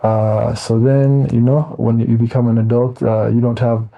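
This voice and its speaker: An American man in his forties talking casually as an interview guest, easygoing and meandering, often pausing mid-thought. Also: medium pitch, flat delivery, creaky, highs cut off. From Wisconsin, United States. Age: 40s.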